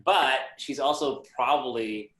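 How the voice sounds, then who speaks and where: A man's voice: mid-range voice, unhurried, a bit bright, a little hiss or hum. A man bantering casually as a guest panelist, wry and wordy with a mock-earnest air. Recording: slightly echoey room.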